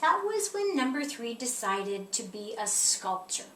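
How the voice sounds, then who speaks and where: Lady reading a kids book out loud, high voice, kinda echoey with a bit of noise. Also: big pitch swings, unhurried. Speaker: storyteller reading a children's picture book aloud. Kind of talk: expressive children's story read-aloud. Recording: roomy echo, distant mic, faint noise.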